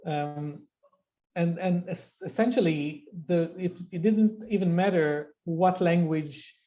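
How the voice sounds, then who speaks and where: A woman's voice: on the low side, slow pace, dark tone, muffled recording. A woman from Israel whose first language is Hebrew, explaining things as an interview guest with frequent restarts, speaking over a video call. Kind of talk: conversational interview.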